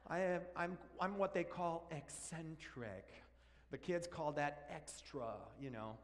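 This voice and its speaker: A man in his forties from Argentina, a native Spanish speaker, preaching an animated, joking sermon while walking around the stage. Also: fairly high pitch, slow, a little hiss or hum. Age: 40s. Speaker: pastor. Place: large church sanctuary. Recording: long church hall reverb.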